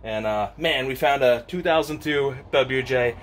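A man's voice: mid-range voice, unhurried, slight background noise. A man in his thirties hosting a casual car-parts vlog, upbeat and chatty, recorded in his home garage workshop on a camera held at arm's length. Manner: excited, upbeat, chatty with laughs. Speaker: channel host. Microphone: handheld camera at arm's length.

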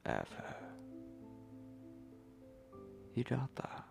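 A man playing a possessive, commanding vampire lord in an ASMR roleplay, speaking in breathy, gravelly whispers with slow, menacing condescension. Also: over loud music, lightly acted. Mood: possessive, condescending. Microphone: close microphone.

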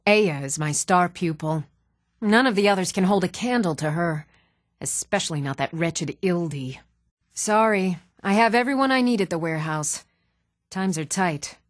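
Woman acting even-toned townswoman, very dry studio sound. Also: on the low side, dry recording, lightly acted. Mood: formal, firm. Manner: even-toned, measured, clearly enunciated. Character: even-toned adult townswoman of a fantasy realm. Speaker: video game voice actor. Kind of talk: scripted fantasy role-playing game dialogue. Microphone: close, dry studio microphone.

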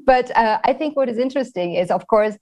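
A woman's voice: a little high, fairly quick, mellow, highs cut off. Bubbly woman hosting an interview. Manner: bubbly, laughs often. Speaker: host. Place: plain-walled indoor room.

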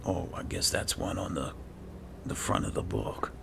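A man murmuring low-key game commentary, thinking aloud and reading bits as he plays. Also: fairly even intonation, normal speed, gravelly, background noise. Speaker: gamer host playing and roleplaying a fantasy game. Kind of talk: casual game commentary with read-aloud notes.

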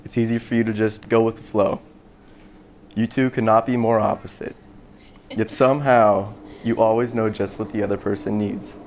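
A man's voice: fairly low pitch, steady tone, slight background noise. Earnest young man officiating a wedding. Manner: sincere, measured, earnest delivery. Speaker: wedding officiant, brother of a bride. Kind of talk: heartfelt officiant speech. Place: large open barn venue, indoors.

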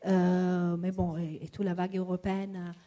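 A woman's voice: on the low side, little pitch movement, normal speed, warm tone. An Italian woman with an Italian accent puts a long question at an academic panel, searching for words and repeating them as she goes. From Italy. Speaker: audience member asking a question. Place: lecture hall at a public panel.

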